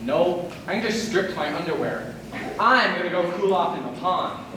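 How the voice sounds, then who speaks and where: A man's voice: high voice, animated, normal speed. A man acting in a stage play as a cocky, dim young aspiring actor in his twenties, breezy and self-satisfied. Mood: carefree. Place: stage in a large hall, far from microphone. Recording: distant, echoey, noisy stage recording.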